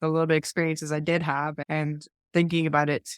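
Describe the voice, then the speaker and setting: A woman's voice: deep voice, flat delivery, quick pace, smooth, no echo. Chatty woman podcast host in a dry room. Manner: chatty, hesitant, restarts often. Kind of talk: casual faith discussion.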